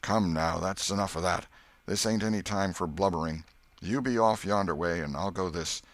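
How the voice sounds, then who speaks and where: A man voicing a cold, controlling murderer in an audiobook, with rough, commanding rustic speech. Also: deep voice, moderate pace, dry recording. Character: stolid, scheming male murderer, cold and controlling.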